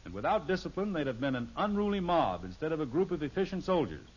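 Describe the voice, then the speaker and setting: Exasperated, blustering sitcom dad. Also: male voice, fairly high pitch, big pitch swings, fast talker, husky. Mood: lecturing. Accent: American. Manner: lecturing, commanding, speechifying. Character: exasperated, strict, blustering suburban father, middle-aged. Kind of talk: 1950s radio sitcom acting. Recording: muffled vintage radio recording.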